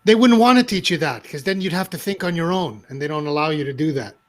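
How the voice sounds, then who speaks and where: A man hosting a live-streamed talk show as a coach, with emphatic, animated affirmations. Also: fairly high pitch, fast. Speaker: host and clarity coach.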